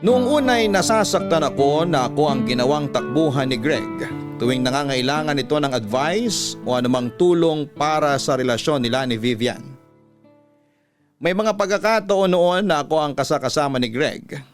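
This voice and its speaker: A man's voice: on the high side, lively intonation, moderate pace. Male radio storyteller over music. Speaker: storyteller host. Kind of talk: scripted first-person story narration, classic radio drama. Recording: instrumental music behind the voice.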